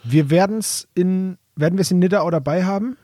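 A man's voice: high voice, brisk, mellow, no room sound, studio quality. A man co-hosting a podcast in casual chat, with playful, slangy interjections. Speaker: podcast co-host.

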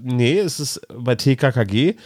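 A man's voice: mid-range voice, animated, normal speed, a little mellow, no room sound, studio quality. A man co-hosting a podcast, talking casually as a fan in an informal conversation. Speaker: podcast co-host. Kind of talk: casual fan chat about audio dramas.

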